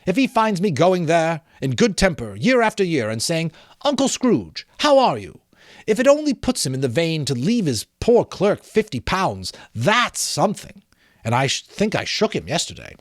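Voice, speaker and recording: A good-natured young Victorian gentleman , acted out by a male storybook reader. He speaks in a raised, swooping voice, buoyant and earnest, with emphatic delivery in a dry recording. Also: on the high side, very animated, normal speed, no echo, pitched above the performer's own voice. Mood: good-humoured, earnest.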